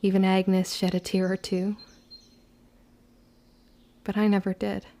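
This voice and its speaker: Woman as a mellow, brooding young witch. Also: mid-range voice, little pitch movement, close to an everyday voice. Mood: brooding, reflective. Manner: measured, formal old-fashioned phrasing. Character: guarded, stubborn, brooding young witch narrating her own story. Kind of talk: scripted first-person audio drama narration.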